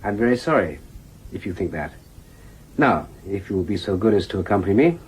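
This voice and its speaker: Deep-voiced, courteous army lieutenant. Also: male voice, very animated, a little fast, a little hiss or hum, poor audio. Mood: polite, firm. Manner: courteous, formal. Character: polite, firm male army lieutenant making arrest. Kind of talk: radio drama acting.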